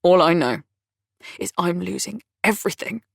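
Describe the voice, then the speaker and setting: A woman voicing a volatile, self-pitying man who rants and complains loudly. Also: fairly low pitch, expressive, normal speed, gravelly, dry recording, studio quality. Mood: angry ranting. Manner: ranting, raised and strained.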